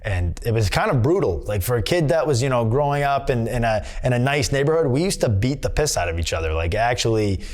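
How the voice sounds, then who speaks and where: A male boxer, talking at a middling pitch. He rambles through his answers, backing up and restarting as he leans on fillers. It is a candid sit-down interview. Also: animated, fast, few pauses, darkish tone. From Massachusetts. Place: boxing gym.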